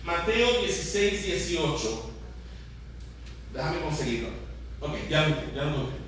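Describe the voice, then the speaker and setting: A man's voice: a little high, big pitch swings, moderate pace, fairly bright tone. A man with a Puerto Rican Spanish accent preaching a teaching sermon as a pastor, often putting questions to the congregation. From Puerto Rico. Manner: interactive, putting questions to listeners. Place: large echoey room, church service. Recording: very echoey hall, distant, faint noise.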